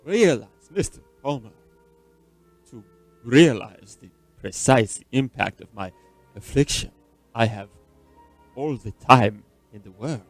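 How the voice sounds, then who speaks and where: An actor playing a weary, mysterious immortal man in a radio drama, speaking gravely and deliberately. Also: mid-range voice, animated, no room sound, faint music behind. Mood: grave, ominous.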